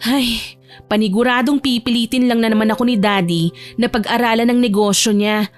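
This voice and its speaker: A woman's voice: fairly high pitch, steady tone, a little fast, soft background music, close to an everyday voice. A woman voicing a bratty, stubborn young heiress who grumbles sassily in an audio drama. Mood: sassy, grumbling.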